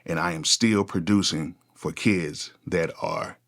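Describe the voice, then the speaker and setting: Forties male producer, deep low voice, relaxed unscripted vlog chat. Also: measured pace. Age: 40s. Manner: casual, conversational, repeats "go ahead" often. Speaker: music producer, channel host. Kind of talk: unscripted one-take talk to camera.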